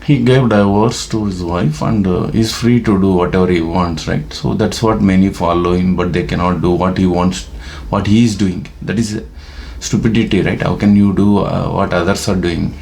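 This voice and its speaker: Low gravelly man with Telugu accent. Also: steady tone, normal speed, a little mellow, a little hiss or hum. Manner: rambling, hesitant with frequent fillers. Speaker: vlogger. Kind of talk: casual monologue to camera. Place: Detroit.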